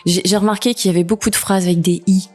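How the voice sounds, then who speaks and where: A woman's voice: mid-range voice, fairly even intonation, fast, darkish tone, faint music behind. A woman from northern France chatting casually as a podcast guest. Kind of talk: casual chat.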